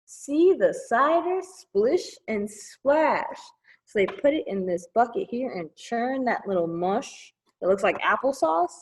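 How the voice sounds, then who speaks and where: Woman reading aloud slowly with lively pitch. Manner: expressive, singsong, child-directed. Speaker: children's librarian leading storytime. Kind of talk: read-aloud picture book storytime with chatty asides.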